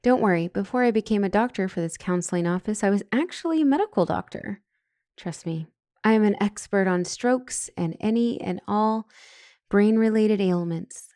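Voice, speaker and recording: A woman's voice: medium pitch, moderate pace, mellow, dry recording, studio quality, lightly acted. A woman playing a flustered, smitten couples counselor who stumbles and corrects herself in a comedic audio roleplay. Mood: flustered, pleading. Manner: stammering, awkwardly self-correcting. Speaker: doctor and counselor.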